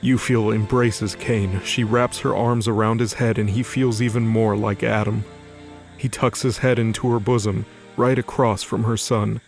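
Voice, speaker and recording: Male narrator for audio drama, fairly low voice, dramatic scripted storytelling, faint music underneath. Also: level tone, moderate pace.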